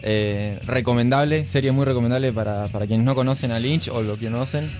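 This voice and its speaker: A man with an Argentine accent co-hosting a casual radio chat in an informal, halting way. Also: medium pitch, darkish tone. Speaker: radio show co-host. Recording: music playing behind the voice. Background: music.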